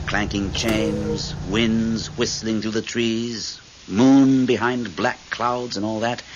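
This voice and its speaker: A man playing a worldly-wise, theatrical ghost mentor in an old-time radio drama, with drawn-out phrasing. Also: on the low side, fairly even intonation. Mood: wry, explaining. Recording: muffled old radio recording, loud vehicle noise.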